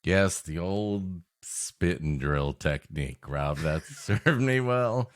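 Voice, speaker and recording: A man's voice: low-pitched, lively intonation, slow. A man from the United States co-hosting a podcast, improvising comic banter in a dry, sardonic way. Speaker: podcast co-host.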